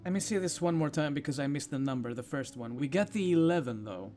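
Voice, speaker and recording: A man's voice: on the high side, animated, fast talker, darkish tone, a little hiss or hum. A man giving casual commentary as he plays a video game, muttering to himself as he decides what to do. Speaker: gamer commentating on playthrough.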